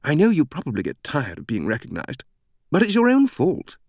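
A man's voice: on the high side, wildly swooping pitch, a little fast, darkish tone, no echo, clean studio sound, voice pushed up, exaggerated voice. A man voicing an exuberant, chatty middle-aged British woman with a sing-song, gushing delivery in an audiobook. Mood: playful, chatty.

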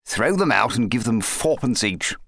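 A man's voice: mid-range voice, big pitch swings, normal speed, creaky, fairly bright tone, no echo, studio quality, exaggerated voice. Man playing a breezy, commanding storybook king. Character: genial commanding old-fashioned king, breezily persuasive. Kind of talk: children's storybook character reading.

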